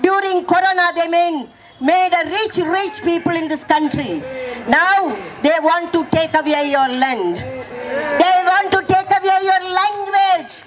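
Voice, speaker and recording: A woman, hoarse from speaking, gives an impassioned protest speech and fires rhetorical questions at the crowd. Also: very high-pitched, measured pace, noisy background. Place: outdoor protest gathering.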